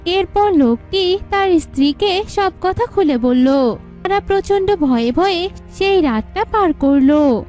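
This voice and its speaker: A woman's voice: very high-pitched, expressive, measured pace, a little mellow. A woman narrating a scripted cartoon folk tale in a storytelling style. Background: music.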